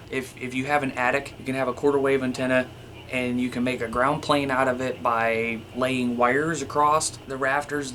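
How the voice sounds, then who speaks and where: A man's voice: medium pitch, fairly bright tone. Casual man explaining, noisy recording. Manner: informal, conversational, repeatedly addressing viewers as you guys. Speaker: video host. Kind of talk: casual instructional talk, demonstrating radio gear.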